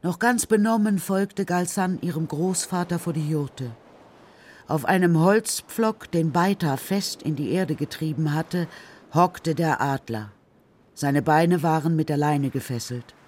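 Low-voiced woman narrating a children's story. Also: darkish tone, breathy edge. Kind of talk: scripted story narration for a children's radio play.

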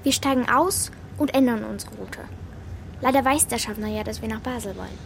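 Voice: medium pitch, brisk, vocal fry, a bit bright, slight background noise. A performer voicing a young girl in a children's radio play, direct, inquisitive and decisive in how she talks. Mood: urgent, decisive.

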